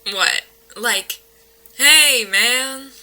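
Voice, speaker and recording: A woman's voice: fairly high pitch, drawn-out words, bright, forceful tone, exaggerated voice. A woman playing a skeptical, level-headed paranormal investigator in an audio drama, dry and matter-of-fact. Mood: wry. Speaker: actor in an audio play. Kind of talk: modern naturalistic audio drama.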